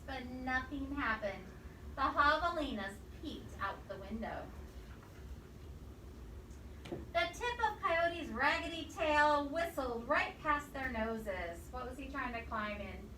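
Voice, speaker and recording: A woman reading a picture book aloud to children, expressive and pausing to quiz her young listeners. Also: sits high. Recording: roomy echo, distant mic, faint noise.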